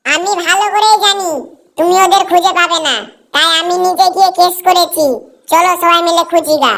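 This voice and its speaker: A woman's voice: very high-pitched, steady tone, a little fast, creaky, a bit bright, heavily acted. A woman playing a distressed, determined mother in an amateur cartoon, with music behind. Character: distressed mother reporting her runaway underage daughter. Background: background music.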